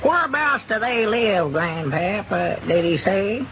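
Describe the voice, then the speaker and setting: An actor playing a good-hearted, agreeable old farmer who repeats himself in a folksy rural Arkansas accent, in a 1930s radio drama. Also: male voice, high voice, expressive, a little slow. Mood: earnest. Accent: rural Southern US, Arkansas. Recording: noisy, dull 1930s radio recording.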